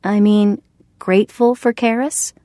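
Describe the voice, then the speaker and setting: A woman's voice: mid-range voice, steady tone, a little slow, dark tone, no room sound, studio quality, voice pushed up, natural voice. A woman reading the part of an earnest, appreciative teenage girl in a storybook, sincerely. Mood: curious.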